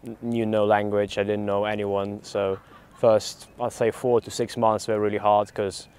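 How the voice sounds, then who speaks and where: A man's voice: fairly low pitch, level tone, moderate pace, a little mellow, smooth. A young man from Ukraine, a university student, answering interview questions outdoors in a fluent, reflective way. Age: young adult. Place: outdoor park.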